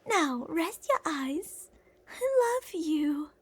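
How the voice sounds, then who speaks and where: High-voiced obsessive kidnapper woman, acted out by a woman. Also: sing-song, very slow, exaggerated voice. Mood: soothing, sinister. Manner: cooing, possessive, theatrical, with pet names and whispered phrases. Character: obsessive older female kidnapper, doting yet menacing. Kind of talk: ASMR roleplay acting.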